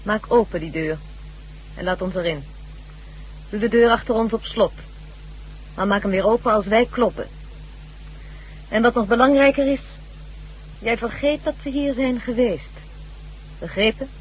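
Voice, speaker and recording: A cool-headed woman doctor acted by a woman with a high voice, giving out plans and orders briskly. She sounds assured and authoritative. It is radio drama with faint noise on an old recording. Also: close to an everyday voice, poor audio. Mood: commanding. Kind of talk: Dutch radio drama acting.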